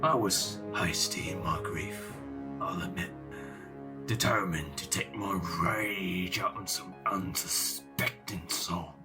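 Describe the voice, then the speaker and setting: An actor playing a grieving, sentimental old pirate captain in an audio drama, often hushed and breathy. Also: male voice, low-pitched, slow pace, over music. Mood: mournful, remembering. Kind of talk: theatrical audio drama dialogue.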